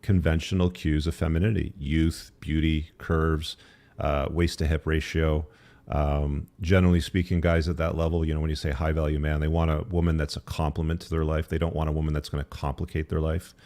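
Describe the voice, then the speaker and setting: A man's voice: a bass voice, level tone, fairly quick, darkish tone. A man speaking as an interview guest, explaining his opinions in a conversational, opinionated way. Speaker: podcast guest, author.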